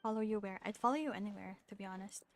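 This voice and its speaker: A woman's voice: medium pitch, rapid-fire, slight background noise. A young adult woman chatting casually on a live game stream, informal and musing.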